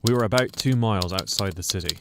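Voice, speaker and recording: An earnest, courteous soldier played by a man in a wartime radio play. His voice is low, and the amateur recording is very noisy. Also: fast talker, close to an everyday voice. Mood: sober narration. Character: earnest, polite male soldier recounting his wartime story. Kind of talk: radio drama dialogue and scripted narration.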